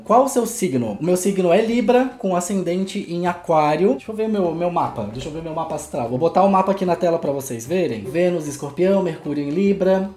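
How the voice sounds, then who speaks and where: A young man with a Florianópolis accent that has softened toward São Paulo chats to camera in a loose, self-mocking way, often restarting his sentences. His voice is dark and mellow. It sits in an echoey room with faint music under it. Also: a little high. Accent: Florianópolis Portuguese, softened toward São Paulo. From Florianópolis, southern Brazil. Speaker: vlogger. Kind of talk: casual chat to camera. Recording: roomy echo, faint music behind.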